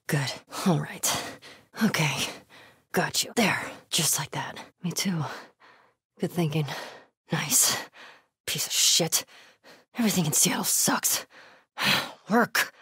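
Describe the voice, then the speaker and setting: Young woman survivor, tough, terse, wry, unhurried, dry close sound, naturalistic game acting. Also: low voice, pushed and bright, husky, no echo, exaggerated voice. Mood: focused, terse. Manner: muttering, terse, casually profane.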